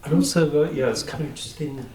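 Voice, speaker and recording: A man's voice: fairly high pitch, fast, a little mellow. Hesitant man in an echoey room. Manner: hesitant, musing. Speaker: podcast interviewer. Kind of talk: conversational interview questions. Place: indoors at a library, away from studio. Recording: roomy, echoing, noisy location recording.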